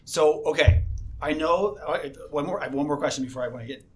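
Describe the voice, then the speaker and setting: A man hosting a school radio interview show, talking casually with plenty of fillers and restarts. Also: fairly high pitch. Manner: halting, many fillers and restarts. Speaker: host, assistant principal.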